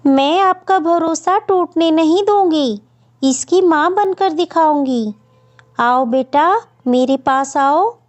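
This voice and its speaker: Woman as a high-voiced gentle stepmother bird. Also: slow pace. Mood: reassuring. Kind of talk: children's cartoon story acting.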